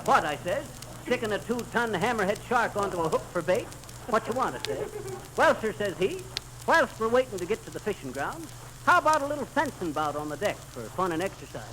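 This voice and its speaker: Folksy american blowhard spinning fish stories, high voice, old timey radio comedy, noisy recording. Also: male voice, big pitch swings, a little fast, pushed and bright, exaggerated voice. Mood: bragging. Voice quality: folksy, colloquial diction. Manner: bragging, theatrical storytelling. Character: boastful, folksy male teller of tall fishing tales. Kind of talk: 1930s radio comedy acting.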